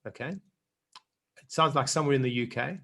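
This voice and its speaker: A middle-aged man with a South African accent coaching an online group on public speaking. He talks earnestly at a middle pitch and repeats words as he gets going. The sound is that of a video call. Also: normal speed, creaky, pushed and bright. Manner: earnest, repeats words when starting a point. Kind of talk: online workshop talk.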